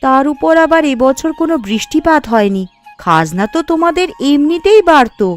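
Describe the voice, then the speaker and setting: A woman's voice: high-pitched, fairly quick, faint music behind. A woman playing a kind-hearted but firm village housewife in a cartoon. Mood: earnest. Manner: expressive, chiding. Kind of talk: Bengali fairy-tale cartoon dialogue.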